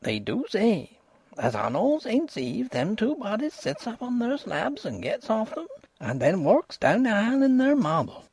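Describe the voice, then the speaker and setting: Grave old country housekeeper woman, as a male narrator puts her on: husky, high, swooping, dry close sound. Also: wildly swooping pitch, measured pace, a little mellow, no room sound, heavily acted. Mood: ominous. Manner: rustic dialect grammar, grave and ominous. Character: placid old peasant housekeeper woman, superstitious. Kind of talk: audiobook character voice.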